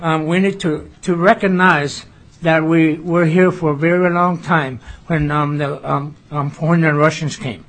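A man from Alaska testifying at a legislative hearing, earnest and full of pauses and fillers. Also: a little high, fairly even intonation, fairly bright tone.

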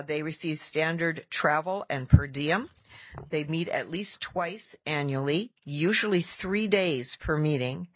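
A committee chair running a formal legislative hearing, reading out a report and moving through procedure briskly with filler pauses. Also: mid-range voice, fairly bright tone.

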